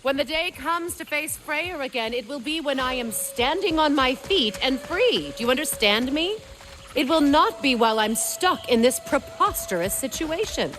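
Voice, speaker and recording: Proud, stern, higher-voiced goddess. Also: female voice, animated, normal speed, fairly bright tone. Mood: indignant, firm. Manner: formal, clipped, imperious phrasing. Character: proud, stern, commanding middle-aged goddess. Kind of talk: video game character acting.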